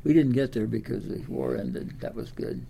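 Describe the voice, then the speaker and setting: A man of ninety with an American Midwestern accent, reminiscing in a halting, rambling way with repeated words. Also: mid-range voice, big pitch swings, creaky, mellow, slight background noise. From Des Moines, Iowa, United States. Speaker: interviewee, World War Two veteran. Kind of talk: oral history reminiscence.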